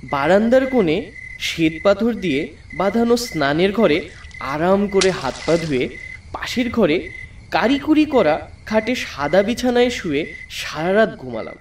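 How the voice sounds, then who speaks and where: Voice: mid-range voice, very animated, unhurried, far from the mic, a little hiss or hum. A narrator telling a first-person story in a dramatic, scripted audiobook style. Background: background music.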